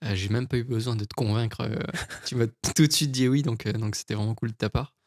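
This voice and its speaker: A man's voice: medium pitch, steady tone, brisk, a little mellow, dry recording, studio quality. A young man hosting a podcast interview, talking casually with many restarts and fillers. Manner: friendly, stammering, many fillers. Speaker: podcast host.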